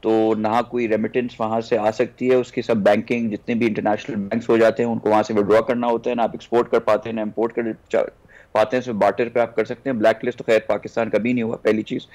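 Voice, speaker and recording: A man's voice: lowish voice, level tone, fast, a little mellow, rough recording. A man with a Pakistani accent making an argumentative case in a political discussion, mixing in English terms. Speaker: political guest on a news talk show. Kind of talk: political discussion, explaining policy.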